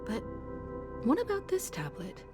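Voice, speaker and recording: A woman's voice: a little high, wildly swooping pitch, a little slow. A woman playing a thoughtful, observant traveler in a video game, speaking formally. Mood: reflective. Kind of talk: video game character acting. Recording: game music behind the voice.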